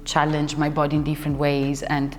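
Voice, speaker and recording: A young woman, a dancer and choreographer, chatting as an interview guest and often pausing to search for words. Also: low voice, completely flat delivery. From Italy. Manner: searching for words, restarts sentences, laughs often. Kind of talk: casual interview talk. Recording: hall reverb with faint music behind.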